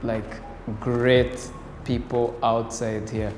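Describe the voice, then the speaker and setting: A man's voice: medium pitch, little pitch movement, drawn-out words, a little mellow, slight background noise. Young man hosting, echoey room. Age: young adult. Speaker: interviewer, host. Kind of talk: formal on-camera interview. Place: indoor room with bare walls, seated. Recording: echoey room, music behind.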